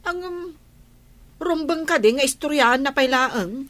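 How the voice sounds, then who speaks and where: An actress with an Ilocano Filipino accent playing a feisty, easily offended village wife in a comedy radio drama. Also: female voice, sits high, measured pace, fairly bright tone, no room sound. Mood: aggrieved. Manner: confrontational, questioning.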